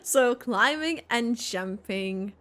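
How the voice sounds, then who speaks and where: Slow, casual woman streamer. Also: on the high side, lively intonation, bright, forceful tone. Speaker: gaming streamer reacting to a story quest. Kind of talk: casual live game reaction.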